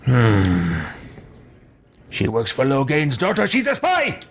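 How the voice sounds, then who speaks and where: A man's voice: mid-range voice, huge pitch swings, takes it slowly, full character voice. A man voicing a brash, grumbling adventurer hero for a fantasy game, declaiming theatrically. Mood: suspicious, impatient.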